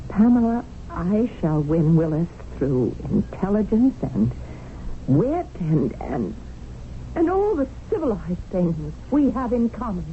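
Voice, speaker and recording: A woman's voice: mid-range voice, sing-song, slow pace. Prim indignant english lady, very up n down voice, thin old radio sound. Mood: scandalized, stubborn. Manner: proper, righteous, articulate. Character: prim, idealistic, indignant Englishwoman newly in India. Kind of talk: radio drama acting. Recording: tinny, noisy old radio broadcast.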